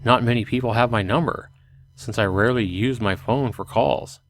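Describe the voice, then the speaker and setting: A man's voice: mid-range voice, moderate pace, slight background noise, lightly acted. A man voicing a reluctant, sarcastic and vain young man narrating his life in a visual novel, grumbling and exasperated. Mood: wary, irritated. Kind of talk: visual novel character reading.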